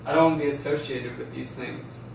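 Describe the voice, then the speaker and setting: A man's voice: medium pitch, steady tone, a little fast, gravelly, a little mellow, lightly acted. A man reading the part of an anxious, withdrawn teenage boy at a script table read in an echoey room. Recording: echoey room, distant mic, faint noise.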